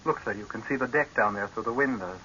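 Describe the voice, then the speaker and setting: An actor playing an earnest, deferential doctor and deep-sea diving expert in a 1930s radio horror drama, speaking in a measured, respectful, formal way. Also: male voice, sharp tone. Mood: grave, explanatory. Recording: dull, hissy old 1930s radio recording.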